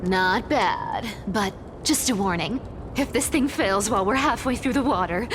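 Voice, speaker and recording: An actress playing a sly, haughty, cat-like demigoddess thief in a video game, theatrical, bossy and greedy for treasure. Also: female voice, mid-range voice, expressive, normal speed, background noise, natural voice. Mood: wary, teasing. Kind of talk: video game character acting. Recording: game music behind the voice.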